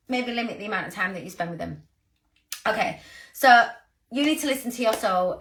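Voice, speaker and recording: Woman in her 30s, croaky today, bright tense voice, pretty high, chatty pep talk to her team. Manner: earnest, rambling, affectionate. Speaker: sales team leader.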